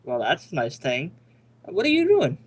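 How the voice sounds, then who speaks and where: A man's voice: fairly high pitch, wildly swooping pitch, moderate pace, slightly rough, exaggerated voice. A man playing an affable but suspicious suburban husband and father in an amateur radio play, talking conversationally with some exaggerated delivery. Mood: breezy, fatherly.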